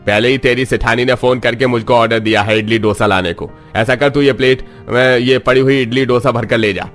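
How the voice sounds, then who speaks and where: Gruff, scornful man acting a stingy restaurant boss. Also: medium pitch, steady tone, a little fast, a bit bright, soft background music, close to an everyday voice. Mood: dismissive. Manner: sarcastic, scolding. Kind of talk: Hindi cartoon story acting.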